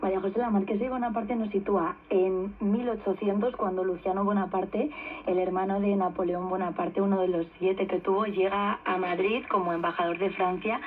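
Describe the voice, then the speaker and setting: A woman's voice: mid-range voice, steady tone, fairly quick, breathy edge, phone-quality sound. A woman, a radio host asking formal, scene-setting questions. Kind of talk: radio interview. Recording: thin shortwave radio reception.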